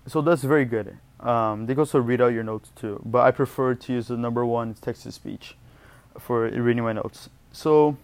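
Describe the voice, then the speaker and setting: A man's voice: medium pitch, moderate pace. A young man whose first language is Portuguese, giving study tips in a casual, rambling vlog and often correcting himself mid-word. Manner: rambling, self-correcting, chatty. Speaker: student vlogger.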